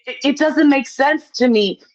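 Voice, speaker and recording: A woman's voice: high voice, measured pace, dull audio. A woman from Poland, chatting casually as an opinionated call-in guest, emphatic and repeating herself to make a point.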